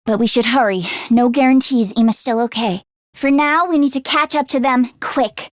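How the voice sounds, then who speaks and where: A woman acts out an earnest teenage girl fighter from a video game, pitched very high and recorded dry and close. She is anxious but tech-minded. Her delivery is expressive, with a hesitant stammer. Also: normal speed, dry recording, lightly acted. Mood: earnest, focused. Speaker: voice actress.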